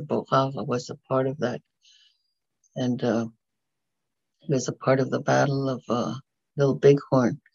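Gravelly older woman, halting measured speech. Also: rumbling low, darkish tone, no room sound. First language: Dakota. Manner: measured, halting, with frequent pauses. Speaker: community presenter. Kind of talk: prayer and talk. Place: small bedroom indoors. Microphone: video call webcam. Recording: slightly dull lo-fi video call audio.

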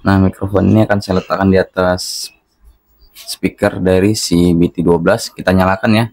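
Man, low pitch, dry sound, casual instructional tone, hands-on review narration. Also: flat delivery, moderate pace, a little mellow, no echo. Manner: casual, instructional, addressing viewers as friends. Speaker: product reviewer.

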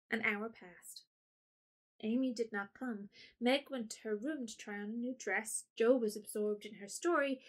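A woman narrates an audio drama in a steady storytelling voice. Her pitch sits a little high, and her delivery is even and clear. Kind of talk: scripted narration.

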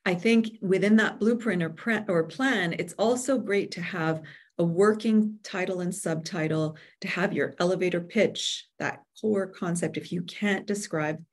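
A woman in her forties with a fairly low voice talks as a guest expert on an online webinar. Her delivery is measured and instructive, and she is speaking over a video call from a room indoors. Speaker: guest expert, book publisher. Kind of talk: explanatory webinar talk. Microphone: video call microphone.

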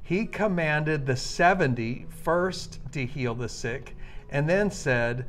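A man preaching with enthusiasm, reading a Bible passage slowly and emphatically. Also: on the high side, animated. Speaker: minister.

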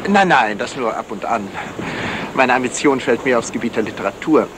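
A man's voice: mid-range voice, lively intonation, brisk, lightly acted. A man playing a courteous, wry amateur detective and writer in a 1970s radio crime drama. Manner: polite, suave, wry. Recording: noisy 1970s radio play recording.

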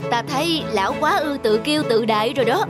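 An actor playing a proud, hot-tempered middle-aged court official in an animated folk tale, boastful and scornful. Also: male voice, very high voice, fast talker. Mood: haughty. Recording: music under the dialogue.

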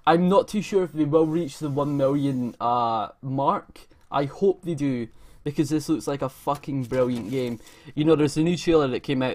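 A man's voice: medium pitch, darkish tone. Casual guy in his twenties. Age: 20s. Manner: informal, enthusiastic, frequent swearing. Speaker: gaming video creator. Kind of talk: casual gaming news commentary over gameplay. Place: indoor room at home. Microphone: desk microphone close to mouth.

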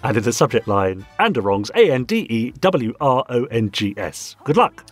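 Male co-host, lively intonation, slightly rough voice, jovial podcast chat, music underneath. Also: mid-range voice, normal speed. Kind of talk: casual podcast chat, reading listener emails.

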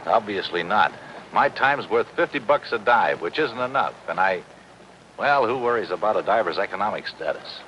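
A middle-aged American actor playing a gruff, curt, sarcastic river diver in a 1950s television drama. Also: male voice, lowish voice, expressive, normal speed, sharp tone. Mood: sardonic. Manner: curt, sardonic. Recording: noisy old film soundtrack, waterfront ambience.

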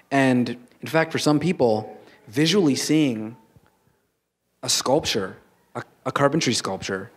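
A young man on a panel answering a question frankly and in measured steps, speaking into a handheld mic on an auditorium stage. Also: mid-range voice. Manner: frank, measured, reasoning aloud. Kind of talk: panel discussion.

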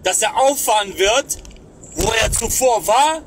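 A man in his thirties hosts a phone livestream, his voice sitting high as he mocks and reads from the chat. The delivery is sarcastic and scornful. A steady layer of noise sits under the whole stream. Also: a little slow. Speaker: livestream host. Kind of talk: mocking livestream commentary. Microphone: phone camera close up.

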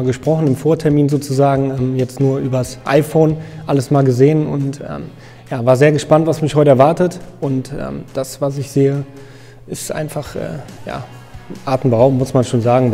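A man in his thirties from Germany, a guest in an interview, talking casually with many fillers and pauses. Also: mid-range voice, fairly even intonation, dark tone, big-room sound, soft background music. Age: 30s. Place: photo studio with grey backdrop.

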